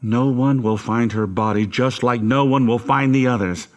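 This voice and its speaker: A man's voice: medium pitch, steady tone, moderate pace, close to an everyday voice. Man acting as a domineering, menacing father. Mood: ranting, insistent. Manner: menacing, hectoring, insistent. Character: domineering, cold, manipulative murderous father. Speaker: actor in horror audio drama. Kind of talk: dramatic horror audio drama acting.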